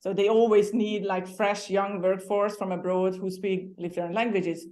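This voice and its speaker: A woman's voice: mid-range voice, little pitch movement, normal speed, darkish tone. A woman from Hungary with a Hungarian accent, a guest chatting casually in an interview, laughing often and restarting her sentences now and then.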